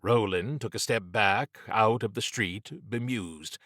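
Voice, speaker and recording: A male narrator reads dramatic fiction for an audiobook. His voice sits fairly low and his pace is unhurried. The sound is close and dry, as on a studio microphone. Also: fairly bright tone, dry recording.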